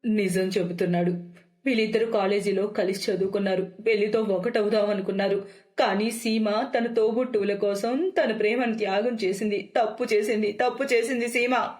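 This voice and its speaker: A woman's voice: mid-range voice, fairly even intonation, normal speed, darkish tone, close to an everyday voice. A woman voicing a devout, protective old mother in a story, solemn and emphatic. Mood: resolute.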